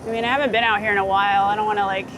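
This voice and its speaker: A woman from Florida with an American accent, chatting casually as an interviewed photographer, talkative and quick to laugh. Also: mid-range voice, level tone. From United States, Florida. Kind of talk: casual chat while out and about. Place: on location in a city, rooftop, car and street. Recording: outdoor city and vehicle noise.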